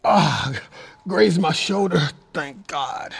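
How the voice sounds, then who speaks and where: An actor playing a gruff, self-doubting older survivor, wounded and weary, in an audio drama, with a husky, gravelly voice and a halting, strained delivery. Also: male voice, sits high, heavily acted. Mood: pained, pleading. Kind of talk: post-apocalyptic audio drama acting.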